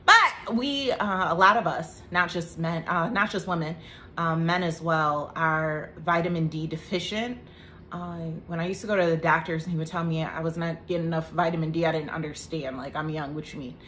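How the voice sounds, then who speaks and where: A woman in her thirties talks to camera about health in a chatty, joking way. Her voice sits a little low. A faint room hum lies under the recording. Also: moderate pace, pushed and bright. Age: 30s. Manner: chatty, joking asides, faith talk. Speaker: vlogger. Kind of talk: casual health talk to camera.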